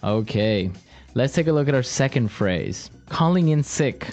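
A man co-hosting an English lesson podcast, upbeat, laughing often, slowing down to model phrases. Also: mid-range voice, lively intonation, a little mellow. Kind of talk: casual teaching chat.

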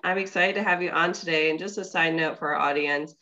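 A woman's voice: low-pitched, steady tone, fairly quick, sharp tone, dull audio. A young woman hosting a podcast in casual chat, her voice congested from a cold. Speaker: podcast host.

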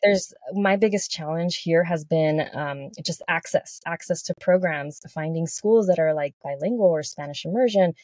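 A woman's voice: on the low side, normal speed, few pauses, a little mellow, dry recording. A woman in her thirties from Texas, a podcast guest talking earnestly in casual chat, with frequent restarts. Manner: earnest, with many restarts and "like" fillers.

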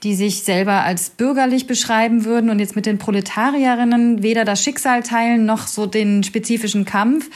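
Woman academic guest, slightly high voice, halting explanations, lots of ums. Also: fairly even intonation, a little mellow. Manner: hesitant, many fillers, thoughtful. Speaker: guest expert, university lecturer.

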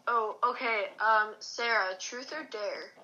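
A woman's voice: on the high side, slow pace, sharp tone. A young woman chatting hesitantly with friends. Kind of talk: casual chat with friends. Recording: dull muffled recording.

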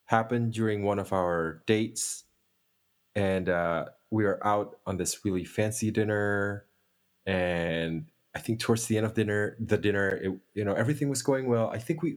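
A man from Indonesia whose first language is Indonesian, a podcast guest telling a story in a chatty, laughing way. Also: lowish voice. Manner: laughing, chatty, restarts often. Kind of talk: casual storytelling.

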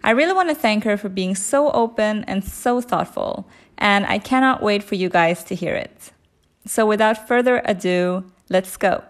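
A woman hosting a podcast, talking softly and hesitantly, with many fillers, in a casual interview. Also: mid-range voice, normal speed. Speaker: podcast host.

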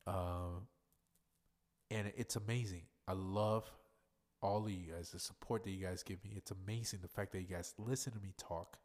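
A man's voice: low voice, fairly even intonation, normal speed, darkish tone. Congested, nasal male podcast host. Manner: rambling, profane, loose and chatty. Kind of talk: casual solo podcast monologue.

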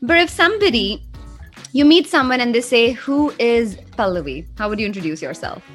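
A woman's voice: fairly high pitch, expressive, fairly quick, soft background music. A woman hosting a podcast, introducing and chatting with her guest in an enthusiastic, playfully teasing way. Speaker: podcast host. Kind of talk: podcast conversation.

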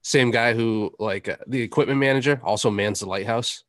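A man with a mid-pitched voice trades casual sports banter as a co-host. He is laconic and deadpan, and the sound is very dry with no room echo. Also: fairly quick.

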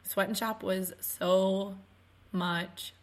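A woman in her twenties co-hosting a podcast in casual chat, her voice still a little raspy after losing it, telling stories with laughs. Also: medium pitch, steady tone, very slow. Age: 20s. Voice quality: slightly raspy. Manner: chatty, laughing storyteller. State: recovering from lost voice. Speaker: podcast co-host.

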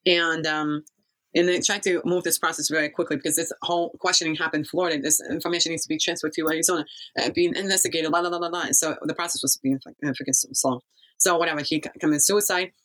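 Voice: mid-range voice, steady tone, fast talker, fairly bright tone, rough recording. A person from Kazakhstan telling a life story in a casual interview, rambling and often losing the thread.

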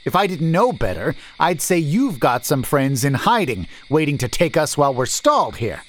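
A man's voice: fairly high pitch, sing-song, normal speed, fairly bright tone, pitched above the performer's own voice. A male narrator voicing a suspicious, brusque merchant who demands answers on the road. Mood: skeptical. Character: suspicious gruff male merchant leading a wagon.

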